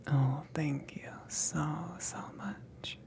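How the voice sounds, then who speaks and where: A woman's voice: low-pitched, warm tone, exaggerated voice. Whispering, flustered alien played by a woman. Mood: grateful, eager. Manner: halting, nervous, breathy whispering. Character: flustered, needy female alien salvage crewmember. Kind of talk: ASMR roleplay.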